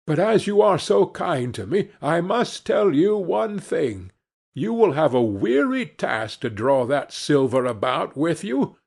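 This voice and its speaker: Naive, cheerful young servant for a storybook , played by a man: exclaiming, higher-pitched, lively swoops. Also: measured pace, creaky, breathy edge, heavily acted. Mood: delighted. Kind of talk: fairy tale storybook reading.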